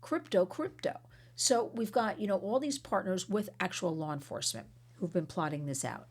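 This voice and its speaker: A middle-aged American woman, hoarse and losing her voice, giving an emphatic, rambling talk as an anti-trafficking advocate. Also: medium pitch, animated, moderate pace.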